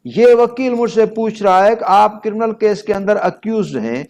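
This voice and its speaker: Higher-voiced Pakistani man giving a lecture. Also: normal speed, a little mellow. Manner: didactic, acting out courtroom exchanges as examples. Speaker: law lecturer. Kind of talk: explanatory law lecture mixing Urdu and English.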